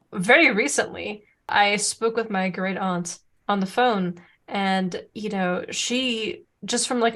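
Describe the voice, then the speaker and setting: A woman co-hosting a podcast in casual chat, rambling with many restarts, whose first language is Serbo-Croatian. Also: mid-range voice. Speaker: podcast co-host.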